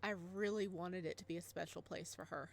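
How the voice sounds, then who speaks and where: A woman voicing a gentle, doting father, narrating softly and tenderly in a visual novel. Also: on the low side, fairly even intonation, a little fast, gravelly, pitched below the performer's own voice. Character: gentle doting father, a modest physics teacher.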